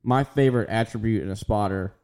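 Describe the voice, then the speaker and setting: A man's voice: lowish voice, a little fast, a little mellow, clear, resonant tone. A young man co-hosting a podcast, chatting casually and rambling with a laid-back manner. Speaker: podcast co-host. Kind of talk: casual chat.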